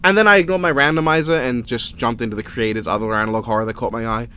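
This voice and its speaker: A man's voice: medium pitch, fast talker, sharp tone, a little hiss or hum. An Australian man giving a casual, opinionated and sweary video-essay review as a voice-over.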